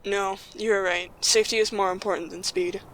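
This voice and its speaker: A woman playing a calm, practical, reassuring young group leader in an audio drama, with steady delivery. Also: mid-range voice, normal speed, slight background noise, natural voice, low-quality recording.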